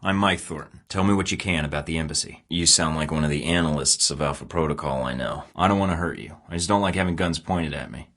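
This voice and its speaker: A cocky young secret agent with a very low, deep voice, speaking in dry, clipped lines full of sarcasm. He sounds unbothered and sure of himself, as in a story-driven spy game. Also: quick pace, few pauses, fairly bright tone. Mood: cool. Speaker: game character.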